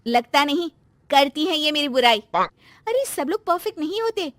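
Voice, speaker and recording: A woman plays a dutiful but touchy young daughter-in-law in a family storybook tale. The voice is high and very animated, with a pointed, emphatic way of speaking. The recording is dry. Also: normal speed, no echo. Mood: aggrieved. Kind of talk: family moral-story acting.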